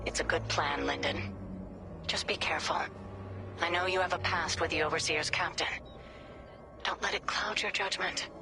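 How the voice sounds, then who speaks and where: An actress playing a steady, supportive general in a space video game, composed and authoritative. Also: female voice, on the low side, normal speed, vocal fry, long pauses, music in the background. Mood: composed, concerned. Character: steady, supportive woman general, cautioning a colleague.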